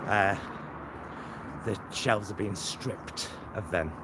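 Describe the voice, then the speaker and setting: A man's voice: fairly low pitch, slow pace. A man chatting casually in a rambling walking vlog, thinking aloud as he films himself outdoors. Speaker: vlogger. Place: outdoors, walking near a supermarket and roads. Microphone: handheld camera in selfie mode. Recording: loud outdoor street and traffic noise.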